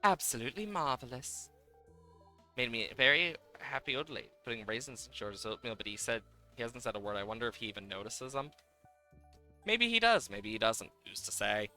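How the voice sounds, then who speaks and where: Man playing a cheerful old game villager lady, chatty, musing, lively pitch, faint music. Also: fairly quick. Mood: pleased, musing. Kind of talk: reading video game dialogue.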